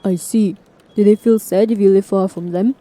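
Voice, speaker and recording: A woman's voice: medium pitch, steady tone, normal speed, mellow, natural voice, poor audio. A woman playing a polite, formal job interviewer in a scripted language-lesson role-play.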